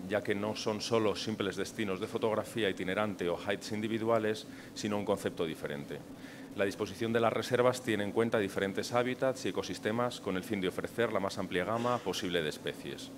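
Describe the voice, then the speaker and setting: A very deep-voiced man opening a conference session and introducing the day's speakers. His tone is formal, though he stumbles and restarts now and then. Faint noise hums in the background. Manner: formal, with hesitant fillers and restarts. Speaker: event moderator.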